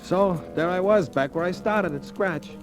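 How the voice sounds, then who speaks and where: An actor playing an excitable, wisecracking American gambler telling his hard-luck story in colloquial vintage radio drama style. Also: high voice, normal speed, close to an everyday voice. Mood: wry narration. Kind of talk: 1940s-50s American radio drama acting. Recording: old radio recording with music.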